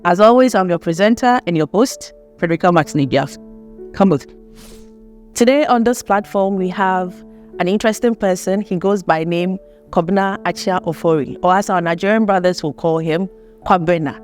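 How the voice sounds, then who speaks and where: Lively woman hosting a chat. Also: lowish voice, normal speed, faint music behind. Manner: lively, laughs often, asks long rambling questions. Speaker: host. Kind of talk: casual interview chat.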